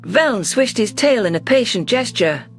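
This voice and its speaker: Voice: medium pitch, expressive, measured pace, faint music behind. A narrator reading first-person science fiction in a scripted, dramatic storytelling style. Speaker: narrator of sci-fi audio stories.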